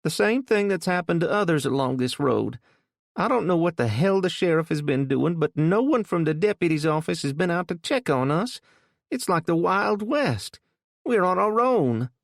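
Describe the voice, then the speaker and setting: A man's voice: a little high, expressive, moderate pace, creaky, no room sound, clean studio sound, pitched above the performer's own voice. A man voicing a frightened, indignant older woman.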